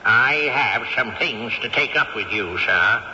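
A man's voice: fairly low pitch, a little slow, bright, forceful tone, in a large hall. An actor playing a cantankerous, haughty old male ghost who drives a hard bargain, in a radio drama. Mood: scheming. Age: older. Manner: haughty, indignant, grandly formal. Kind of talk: 1970s radio drama acting. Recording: dull old radio recording with faint hiss.

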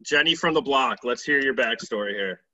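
Joking bright-voiced male podcast host. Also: mid-range voice, normal speed, no room sound. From upstate New York. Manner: joking, teasing banter. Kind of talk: casual interview chat. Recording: dull lo-fi sound.

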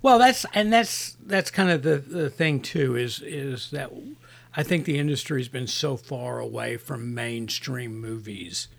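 A man's voice: mid-range voice, measured pace, gravelly. A man co-hosting a casual movie review podcast, easygoing and agreeable, often trailing off mid-sentence. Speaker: podcast co-host; works in the film industry.

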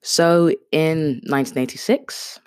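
A woman's voice: low voice, measured pace, darkish tone, clean tone, dry recording, studio quality. A woman in her thirties, born in Kenya with Swahili as her first language, hosting an unplanned podcast and rambling with lots of fillers. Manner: rambling, chatty, self-deprecating with many fillers. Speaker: podcast host. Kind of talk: unplanned casual monologue.

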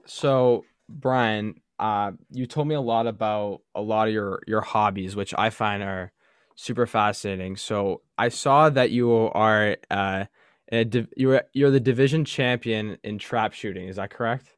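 A man's voice: fairly low pitch, fairly even intonation, unhurried, clear, resonant tone, dry recording, clean studio sound. A man hosting a podcast interview, asking earnest questions and correcting himself, saying "like" and "you know". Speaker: podcast host.

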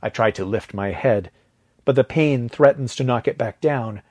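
A man with a husky voice narrating a story in a dramatic, deliberate way, letting phrase endings drop to a hush. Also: mid-range voice. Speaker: audio fiction narrator.

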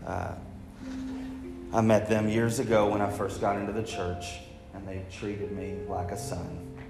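A man's voice: lowish voice, monotone, unhurried, over music. A young man giving a casual, rambling testimony as a guest preacher, restarting his sentences and joking at his own expense. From Arkansas. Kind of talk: testimony sermon to a congregation. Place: large church sanctuary. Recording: very echoey hall, distant mic, faint room noise.

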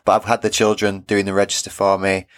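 A man's voice: sits low, monotone, fast talker, no echo. A man hosting a light-hearted podcast, with a cold that leaves him nasal and sore-throated, chatting and laughing at himself. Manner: chatty, self-deprecating, laughs often. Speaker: podcast host and primary teacher.

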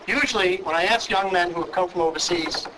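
A man with a Brooklyn accent hosting an interview, asking brisk, friendly questions with frequent restarts. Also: sits high, background noise. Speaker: talk show host. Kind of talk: interviewing guests.

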